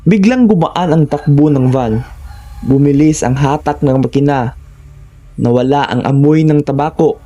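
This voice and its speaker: A man's voice: on the high side, moderate pace, dark tone, muffled recording. A man with a Filipino accent giving a scripted first-person narration of horror stories.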